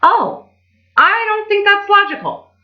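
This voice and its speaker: Little storybook dwarf men, all done by one woman, exaggerated and cartoonish. The pitch jumps high and bounces around. The sound is slightly dull. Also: sing-song, a little slow, exaggerated voice. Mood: puzzled. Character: seven little male dwarfs, eager cartoonish varied tempers.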